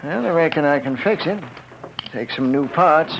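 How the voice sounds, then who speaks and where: Frontier gunsmith: fairly high voice, folksy and musing, muffled old radio western. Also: male voice, lively intonation, moderate pace, creaky, fairly bright tone. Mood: folksy, curious. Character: friendly folksy old-West gunsmith, a curious man. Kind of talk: vintage radio western acting. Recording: muffled, slightly noisy vintage radio recording.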